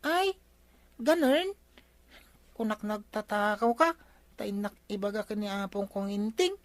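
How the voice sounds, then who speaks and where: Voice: medium pitch, lively intonation, measured pace, no echo, lightly acted. A woman playing a cheeky, inquisitive grandchild in an Ilocano comedy radio drama. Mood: teasing accusation. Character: nosy, cheeky young grandchild of the village captain. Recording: faint background noise.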